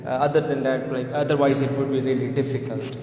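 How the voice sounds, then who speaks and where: Flat-voiced male teacher, echoey room. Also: medium pitch, fast talker, slight background noise. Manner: earnest, hesitant, frequent "uh" and "like" fillers. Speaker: English teacher. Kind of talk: live video discussion.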